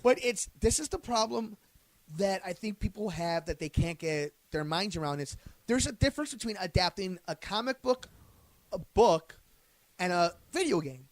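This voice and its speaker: This is a man with a notably high-pitched voice, co-hosting a podcast. He chats casually and conversationally. The sound is close and dry, with hardly any room in it. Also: lively intonation, moderate pace, no room sound. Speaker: podcast co-host. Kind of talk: casual film and pop culture chat.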